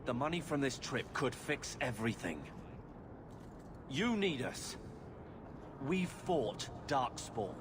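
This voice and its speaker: Blunt, grumbling young warrior. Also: male voice, mid-range voice, big pitch swings, takes it slowly, pauses often, noisy background. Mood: urgent. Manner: blunt, sardonic. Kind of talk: video game voice acting. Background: background music.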